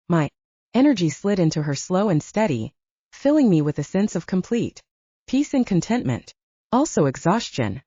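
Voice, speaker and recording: A woman narrates first-person fiction in a soft, dark tone with animated intonation. The sound is dry and slightly dull, like a close audiobook recording. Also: fairly low pitch, breathy edge, no echo.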